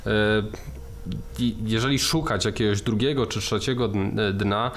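A man's voice: lowish voice, a little slow, slight background noise. A man co-hosting a film podcast, talking through his ideas with many restarts and fillers. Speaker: podcast co-host. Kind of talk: casual film discussion.

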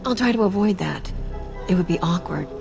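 A woman's voice: on the low side, expressive, fairly quick, gravelly, long reverb tail, over music. An actress playing a cocky female smuggler captain in a video game, dry, wry and blunt. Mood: teasing, cool. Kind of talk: video game dialogue acting.

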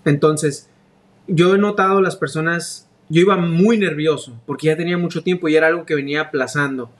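A man's voice: on the high side, brisk. A man in his thirties hosting an interview over a video call, friendly and chatty, speaking into a large studio microphone in a small room. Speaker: host. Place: small room with grey wall. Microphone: large studio mic on arm.

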